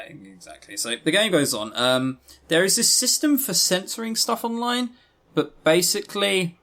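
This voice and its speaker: A man talks casually as a podcast co-host, his voice sitting a little higher than average. He is opinionated and leans hard on his points. His delivery rambles as he reviews and argues. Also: a bit bright. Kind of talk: casual review commentary on visual novels.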